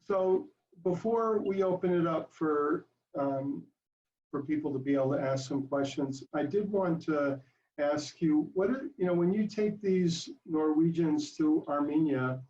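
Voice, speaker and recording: Mellow guy hosting a q and a, sounds far from the mic, kinda echoey. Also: a little high, a little slow. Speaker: interviewer and moderator. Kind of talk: casual hosted conversation. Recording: roomy, distant, slightly dull mic pickup.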